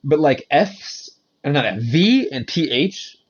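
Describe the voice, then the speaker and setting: A man who teaches English in Japan, chatting casually on a podcast and explaining with animated frustration. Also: fairly high pitch, takes it slowly, slightly rough, dull audio.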